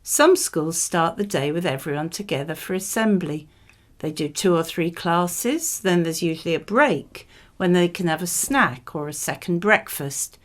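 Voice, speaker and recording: Low-pitched woman giving model exam answers. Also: lively intonation, measured pace. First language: English. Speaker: model candidate in an English speaking exam. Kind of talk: scripted model answers read in a conversational tone.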